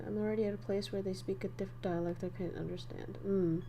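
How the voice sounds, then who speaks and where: A woman's voice: medium pitch, steady tone, fast talker, warm tone, slight background noise. A young woman from northern Vietnam with a Vietnamese accent, chatting casually on a livestream while she teaches idioms and laughs often. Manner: chatty, laughs often, reads chat aloud.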